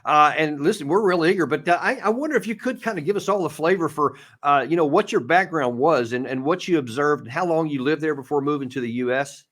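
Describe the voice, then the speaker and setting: A man interviewing a guest in a casual, somewhat halting way, often repeating words as he forms questions. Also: fairly high pitch, fairly bright tone. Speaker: show host interviewer.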